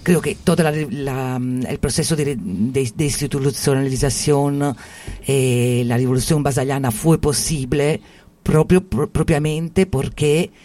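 A man with an Italian accent speaking Spanish as a second language, slow and halting, often searching for words in a discussion. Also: mid-range voice, mellow. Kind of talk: discussion about psychiatry.

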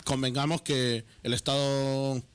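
Male radio co-host, mid pitch, dry studio sound, loose and rambling delivery. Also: flat delivery, a little slow, smooth, no echo. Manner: rambling, laughing, peppers speech with "tío". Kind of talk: casual radio chat.

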